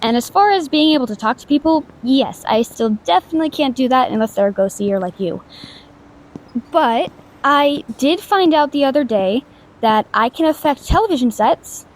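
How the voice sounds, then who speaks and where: A woman's voice: high voice, animated, normal speed, poor audio. A woman voicing a shy, awkward young female ghost in an intimate audio drama, stammering, hesitant and apologetic, anxious but kind. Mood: tentative. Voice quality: soft stammer. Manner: hesitant, apologetic, self-doubting, rambling.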